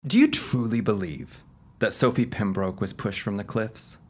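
A man plays a police detective in a radio drama. He is formal and measured, questioning patiently with a skeptical, official air. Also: on the low side, lively intonation, breathy edge. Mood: measured, probing. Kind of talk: audio drama acting.

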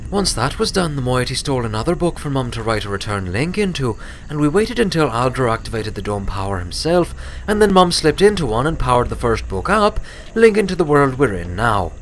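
An earnest young filly pony acted out by a man recounts things in careful, wordy detail. The storybook character is grave and fiercely determined. The pitch moves in a lively way, over clear background noise. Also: natural voice. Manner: precise, wordy, explanatory.

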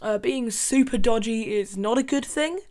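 A young woman giving deadpan, sarcastic commentary from her bedroom into a desk microphone. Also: a little high, moderate pace. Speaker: YouTube commentator. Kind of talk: sarcastic commentary on a reality show. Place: small bedroom with colored lights. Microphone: large desk microphone.

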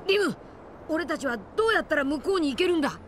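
Lady doing a boisterous anime game young guy adventurer, super high, swings all over, brash. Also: very animated, fast talker, a little hiss or hum. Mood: worried, determined. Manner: blunt, boisterous. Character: brash, hot-headed, loyal young male adventurer. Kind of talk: animated game cutscene acting.

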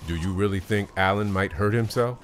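A man voicing a gruff, blunt, irritable survivor and father in a comic dub. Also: on the low side, brisk, slight background noise, lightly acted. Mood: weary, uneasy. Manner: blunt, profane. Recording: loud music bed under the voice.

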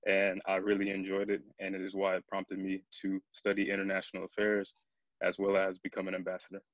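A young American man introduces himself on a video call. His voice is deep and very even, almost monotone, and the recording is dry and a bit dull. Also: a little fast, dry recording. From Atlanta, USA. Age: 20s. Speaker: program ambassador. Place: indoor room with ceiling fan.

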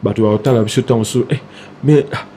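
A Congolese man in his forties with a Kinshasa accent, a singer talking in a casual studio interview, halting with many fillers and restarts. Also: mid-range voice, fairly even intonation, mellow, slight background noise. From Democratic Republic of the Congo. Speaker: singer guest. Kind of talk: casual interview talk mixing Lingala and French. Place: small TV studio, indoors. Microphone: desk mic on stand, close.

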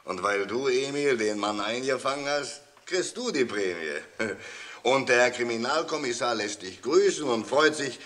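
An actor playing a jovial, kindly police sergeant in a 1960s audio drama, avuncular yet official. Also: male voice, fairly low pitch, fairly bright tone. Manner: chuckling, avuncular, official announcements.

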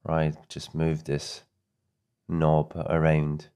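Male tutorial narrator, extremely low register, slow and halting, unscripted feel with repeated words. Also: completely flat delivery, warm tone, clear, resonant tone. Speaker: audio editing tutorial presenter.

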